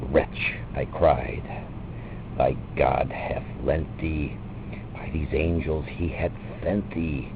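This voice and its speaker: A man with a gravelly voice gives a theatrical, drawn-out reading of a dark narrative poem, with long pauses. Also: fairly even intonation. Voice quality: gravelly, very deep. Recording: muffled lo-fi recording with loud background noise.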